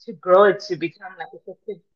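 A woman's voice: fairly low pitch, fairly even intonation, quick pace. A woman hosting a podcast interview, chatty and loose in her phrasing. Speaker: podcast host. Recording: dull lo-fi audio.

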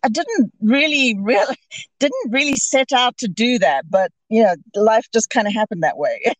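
A woman's voice: fairly high pitch, big pitch swings, measured pace, no echo, low-quality recording. A woman from Zimbabwe, a podcast guest calling in, talking in a hesitant way with many restarts and fillers. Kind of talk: casual interview conversation. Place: calling in remotely.

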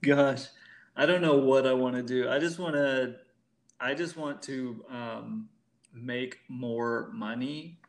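A man in his early thirties chats casually as a podcast guest. His voice is medium in pitch, and he rambles hesitantly, dropping in mild exclamations. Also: slow. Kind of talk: casual chat.

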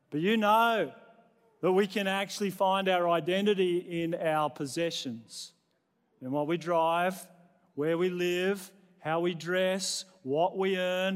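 An Australian man, a middle-aged pastor preaching in a conversational, self-deprecating manner, building points through repeated phrases. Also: sits high. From New South Wales, Australia. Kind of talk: sermon to a congregation. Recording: long reverberant hall sound.

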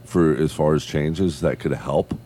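Very deep-voiced enthusiastic male podcast host. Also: a little slow, warm tone, slightly rough. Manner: enthusiastic, swears freely, bro-talk. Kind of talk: casual chat.

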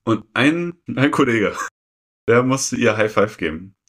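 A young man co-hosting a podcast, telling personal stories casually and haltingly, often repeating phrases. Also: medium pitch, animated, a bit bright. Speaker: podcast co-host. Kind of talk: casual chat, personal storytelling.

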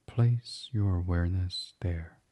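A man guiding a bedtime meditation as a podcast host, speaking softly and soothingly in an unhurried, hushed, breathy way. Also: low-pitched. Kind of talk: guided meditation, gentle bedtime reading.

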